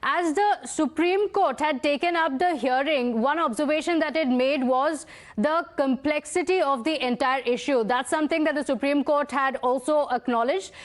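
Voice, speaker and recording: A female news anchor with an Indian accent speaks in a high-pitched voice. She hosts live coverage, summing up and passing questions to correspondents. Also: normal speed.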